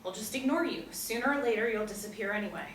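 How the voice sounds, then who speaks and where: A woman's voice: mid-range voice, animated, fast talker, natural voice. A woman acting a flustered, stubbornly disbelieving driver in a table read of a TV drama, exasperated and emphatic. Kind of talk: table read of a TV pilot script. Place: small indoor room. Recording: roomy, distant mic, noisy ambience.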